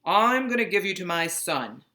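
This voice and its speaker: A woman's voice: fairly low pitch, very animated, moderate pace, sharp tone. A woman reading a storybook, voicing an ailing male slave master giving firm instructions. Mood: firm, commanding.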